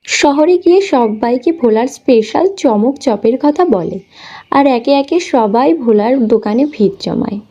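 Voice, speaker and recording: A woman's voice: high voice, lively intonation, a little slow, warm tone, husky. A woman reading scripted storybook narration for a children's cartoon. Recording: slightly dull audio, music underneath.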